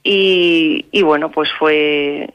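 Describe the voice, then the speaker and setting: A woman's voice: low voice, fairly even intonation, drawn-out words, a bit bright, smooth, dry recording, muffled recording. A woman from Salamanca, a social worker, answering interview questions hesitantly with restarts and tag questions. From Salamanca, Spain. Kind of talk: radio interview.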